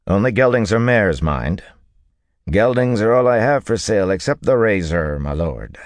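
Man voicing a shrewd stable-keeper, lifted lively voice. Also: lowish voice, unhurried, dry recording, clean studio sound, pitched above the performer's own voice. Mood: smooth, selling. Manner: deferential but bland salesman's patter. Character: wiry, leathery, shrewd male stable-keeper selling horses. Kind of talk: audiobook character dialogue.

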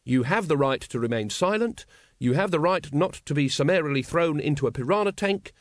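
A man's voice: a little high, animated, fairly quick, no room sound, studio quality, natural voice. A man playing an earnest, literal-minded young policeman who recites formally in an audiobook. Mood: earnest, official. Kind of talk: audiobook character acting.

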